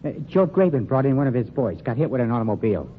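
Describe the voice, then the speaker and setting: An actor playing a slow-witted, sleepy night watchman who stammers nervously, in 1940s radio drama style. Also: medium pitch, big pitch swings, natural voice. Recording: tinny, noisy old radio recording.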